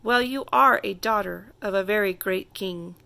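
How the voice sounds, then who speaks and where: A woman voicing a gentle, reassuring father with a tender, patient manner in a children's storybook. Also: medium pitch, moderate pace, creaky.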